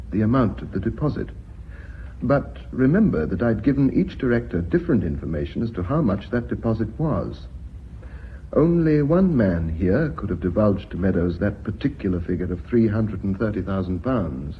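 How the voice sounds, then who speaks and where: Low-voiced, methodical company secretary. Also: male voice. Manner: measured, formal, explanatory. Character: methodical, unflappable male company secretary laying a trap. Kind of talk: radio drama acting. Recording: dull, slightly noisy radio recording.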